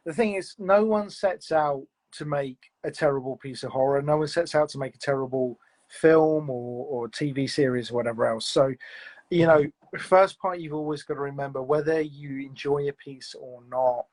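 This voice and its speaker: A man's voice: on the high side, moderate pace, darkish tone. A man being interviewed about producing audio fiction, talking in a reflective, rambling way with lots of "you know"s and restarts. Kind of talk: casual interview chat.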